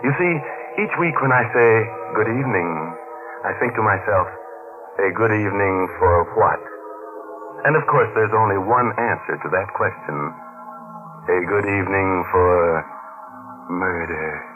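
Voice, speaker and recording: A man's voice: sits low, unhurried, long pauses. A man playing a ghoulishly jovial horror show host and narrator, theatrical and mock-cheerful, in 1940s radio style. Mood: gleefully macabre. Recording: tinny 1940s radio recording, music behind.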